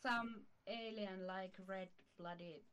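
A woman's voice: medium pitch, steady tone, very slow, over a noisy crowd. A woman chatting casually as a podcast guest, giving brief, hesitant answers. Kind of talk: casual chat.

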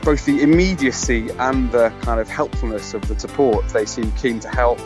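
A man's voice: mid-range voice, moderate pace. Man's testimonial over music. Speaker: customer giving a testimonial. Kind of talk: conversational sponsor testimonial.

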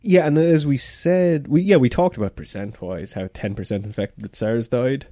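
A man's voice: medium pitch, normal speed, mellow, no echo, studio quality. A man in casual podcast chat, explaining things hesitantly with lots of fillers and restarts.

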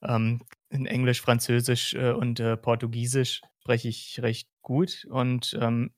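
Man, mid-pitched voice, from Hesse, podcast host, casual and rambling explainer, dry recording. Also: completely flat delivery, slow pace, dry recording. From Hesse, Germany. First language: German.

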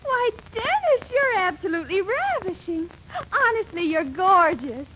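A woman's voice: very high-pitched, sing-song, unhurried, full character voice. An actress playing a bossy, wheedling, theatrical young girlfriend in a 1940s radio comedy, coaxing and commanding by turns. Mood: fussing. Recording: noisy old 1940s radio recording, studio audience.

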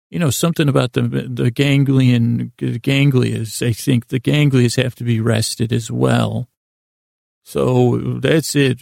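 A man's voice: medium pitch, gravelly, a little mellow, no room sound, clean studio sound. A man hosting a podcast as a rambling bedtime monologue, meandering off on mildly silly, self-deprecating tangents. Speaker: podcast host.

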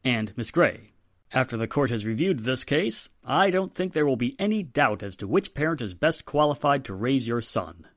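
A man's voice: medium pitch, big pitch swings, normal speed, dry recording, studio quality. A man acting a stern, commanding police inspector in formal 1940s radio drama style. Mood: assured. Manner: authoritative, formal.

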